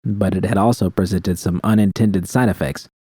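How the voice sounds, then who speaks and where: A man's voice: deep voice, steady tone, normal speed, mellow, husky, no room sound, studio quality. A man reading scripted first-person fiction as a narrator.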